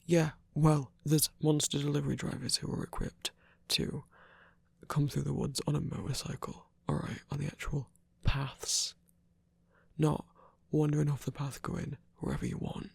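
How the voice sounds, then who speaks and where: A husky, gravelly werewolf, acted by a man in a romantic ASMR audio drama. He is grumpy and protective, grumbling at his partners while plainly caring for them. The sound is close and dry. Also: on the high side, normal speed, darkish tone, dry recording, full character voice. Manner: grumbling, scolding yet caring. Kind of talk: intimate ASMR roleplay acting.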